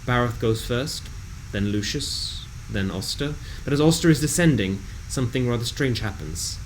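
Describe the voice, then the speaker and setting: A man reading a scripted fantasy game recap in a dramatic, measured storytelling delivery. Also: medium pitch, lively intonation, slight background noise, rough recording.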